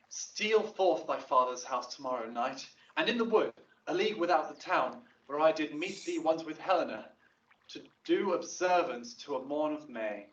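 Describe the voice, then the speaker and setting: A man's voice: fairly high pitch, a bit bright, echoey room, distant mic, highs cut off. An actor playing an ardent young male lover in a Shakespeare audio drama, speaking verse persuasively and theatrically.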